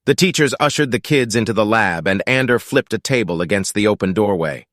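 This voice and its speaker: A man's voice: fairly low pitch, animated, fairly quick, fairly bright tone, dry recording, clean studio sound. A man reading scripted fiction as an audiobook narrator.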